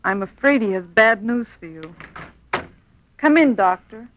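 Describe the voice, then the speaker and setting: A woman's voice: on the high side, lively intonation, measured pace, a little hiss or hum. A woman playing an earnest, kindly hospital nurse in a 1940s radio drama. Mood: grave, gentle. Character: grave, kindly hospital nurse delivering hard news. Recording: muffled vintage radio recording.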